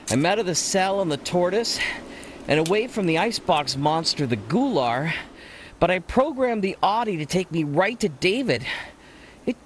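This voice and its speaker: Man acting animated, flustered adventurer. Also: high-pitched, slight background noise. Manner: wry, talking to self. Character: flustered adventurer thinking aloud after escape. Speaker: actor hosting in character. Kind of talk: audio drama monologue.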